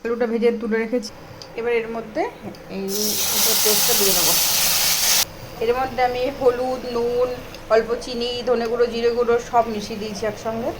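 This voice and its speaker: A woman's voice: fairly high pitch, steady tone, fairly quick. A woman with a Bengali accent giving a casual cooking demonstration, explaining each step as she does it. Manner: step-by-step narration of own actions. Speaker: home cook vlogger. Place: home kitchen, indoors. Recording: noisy home kitchen, frying and sizzling. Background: frying, sizzle, cooking noise.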